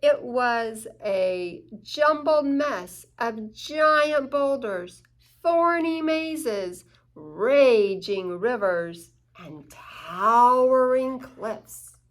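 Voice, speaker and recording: High, very animated woman reading to children. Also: very slow. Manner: dramatic emphasis, inviting questions to listeners. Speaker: children's story-time host. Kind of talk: animated picture-book read-aloud for young children.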